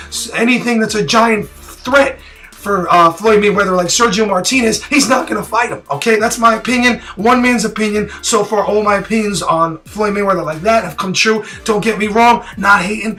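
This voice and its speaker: A man with a raspy, hoarse voice, worn out from yelling, talking straight to camera in a blunt, rambling and opinionated way. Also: sits high. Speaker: boxing prediction vlogger. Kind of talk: casual direct-to-camera talk.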